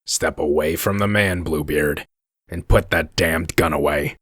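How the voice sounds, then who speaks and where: A man playing a gruff, commanding werewolf detective in a comic dub, curt and threatening, with a gravelly voice. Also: very deep voice, a little slow, fairly bright tone, dry recording, clean studio sound, exaggerated voice. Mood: stern, menacing.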